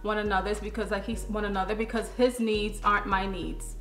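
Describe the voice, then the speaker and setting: A young woman speaks to camera with a bright, forceful voice. She explains things emphatically and casually, like a vlog host giving advice. The room is echoey and faint music plays underneath. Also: medium pitch, level tone, a little fast. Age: young adult. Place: living room, on a sofa.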